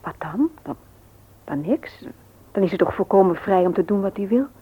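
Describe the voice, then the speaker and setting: An actress playing an earnest, gently persuasive married woman in a radio play, often hesitant and soft. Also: mid-range voice, lively intonation, moderate pace, breathy edge, narrow, tinny audio. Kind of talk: Dutch radio play acting.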